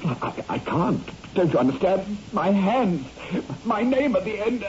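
An actor playing a tormented, brooding surgeon with agitated, melodramatic delivery in a 1960s radio horror drama. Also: male voice, high voice, very animated, measured pace, a little mellow. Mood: distraught. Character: tormented male surgeon obsessed with death at his hands. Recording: muffled, noisy old radio recording.

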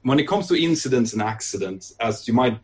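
A man from northern Sweden, an airline training captain, answering interview questions in a relaxed, thoughtful way with fillers and restarts. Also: mid-range voice, a little fast. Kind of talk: podcast interview.

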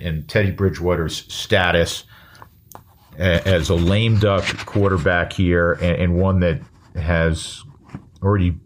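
Low-voiced American sports talk man. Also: a little hiss or hum. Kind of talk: opinion monologue on American football.

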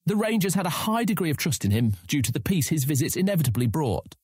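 A man's voice: a little high, big pitch swings, fast, darkish tone, husky, dry recording, studio quality. A man playing a young guildmaster in a fantasy audio drama, earnest and formal, striving to sound authoritative. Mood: earnest pleading. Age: young adult.

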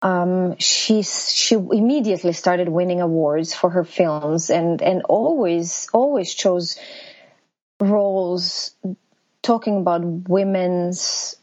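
A woman's voice: on the low side, takes it slowly, a little mellow, highs cut off. A middle-aged woman from Croatia, speaking earnestly as an interview guest with frequent restarts. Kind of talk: interview conversation.

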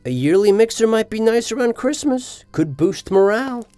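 A man's voice: high voice, animated, moderate pace, mellow, dry recording, soft background music. A man playing an eager, smarmy financial swindler in hell, fawning and oblivious, in a comedic audio drama. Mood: mildly puzzled.

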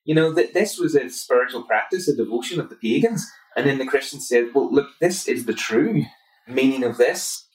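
A man's voice: mid-range voice, animated, normal speed. A man from Northern Ireland with a Northern Irish accent, a guest academic answering interview questions in an earnest, explanatory way. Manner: earnest, explanatory, builds points steadily. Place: conference venue. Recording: roomy, from far off mic.

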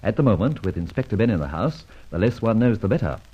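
An actor playing a suave, wry, self-assured gentleman thief in a vintage radio drama, with an urbane manner. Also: fairly low pitch, expressive, fast, breathy edge. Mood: sly, amused. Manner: urbane, wry, clipped commands. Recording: dull vintage radio recording, music behind.

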